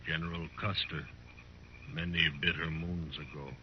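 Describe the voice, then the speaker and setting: A man's voice: extremely low pitch, steady tone, moderate pace. A man playing a stern, dignified Cheyenne chief in a 1950s radio western, speaking in terse, clipped, solemn phrases. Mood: stern, guarded. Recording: muffled old radio recording, heavy noise.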